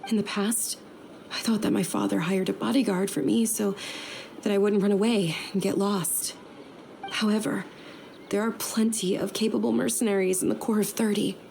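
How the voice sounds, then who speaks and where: An actress playing a gentle young noblewoman in a game, speaking softly and courteously. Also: mid-range voice, fairly even intonation, moderate pace, vocal fry, a little hiss or hum, lightly acted. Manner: soft, courteous, careful. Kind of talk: game voice acting.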